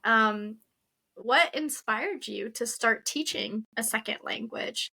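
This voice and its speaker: A woman hosting a relaxed interview, with a bright, clear voice sitting a touch high. She thinks aloud as she talks and fills the gaps with casual fillers. The tone stays conversational and reflective. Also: takes it slowly. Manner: reflective, chatty, uses fillers like "um" and "like". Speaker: interview host. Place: lives in Quebec.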